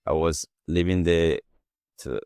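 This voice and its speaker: A man with a very low, deep voice talks in a loose, rambling way as a guest on a remote call. The sound is dry and close. He is from Ghana. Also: a little slow, smooth, no echo. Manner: rambling, relaxed storytelling with frequent "you know". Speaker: guest author. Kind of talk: casual interview.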